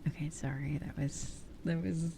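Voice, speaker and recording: A woman in her twenties chatting casually as an ASMR streamer in a soft, breathy voice that often drops to a whisper, rambling candidly close to the microphone. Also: low voice, little pitch movement, normal speed, background noise. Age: 20s. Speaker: ASMR livestream host. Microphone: close ear-to-ear ASMR microphone.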